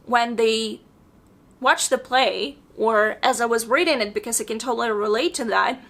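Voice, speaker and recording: A woman's voice: fairly high pitch, pushed and bright. A woman chatting casually in a book review vlog from her apartment, losing her voice, with lots of "like" and "um". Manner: chatty, with frequent "like" and "um". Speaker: book vlogger. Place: home apartment.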